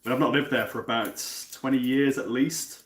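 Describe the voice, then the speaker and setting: Casual cooking-stream host, a man in his thirties from North Wales, chatty with lots of restarts, mid-pitched, echoey kitchen sound. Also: steady tone, moderate pace. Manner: chatty, frequent word repeats and restarts, swears casually. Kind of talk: casual live-stream chat, answering viewers. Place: home kitchen.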